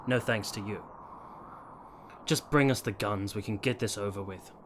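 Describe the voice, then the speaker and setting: A man's voice: fairly low pitch, moderate pace, noisy background, natural voice. A man acting in a radio play as a bitter, resolute duelist from the nineteenth century, curt and defiant. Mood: grimly resolute. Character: bitter, resolute male duelist in 1870s Italy.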